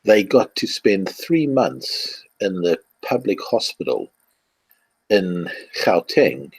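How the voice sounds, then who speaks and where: A man's voice: low-pitched, takes it slowly, husky, no room sound. A man with a South African accent, telling stories in a casual podcast chat and laughing often. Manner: animated storyteller, laughs often. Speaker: co-host.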